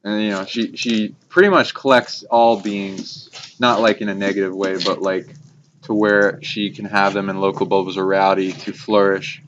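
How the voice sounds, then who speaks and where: A man's voice: on the low side, flat delivery, normal speed, fairly bright tone. A man co-hosting a casual spiritual chat, speaking in a meandering, informal and enthusiastic way. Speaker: talk show co-host. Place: informal recording by a fire. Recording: muffled lo-fi, clear background noise.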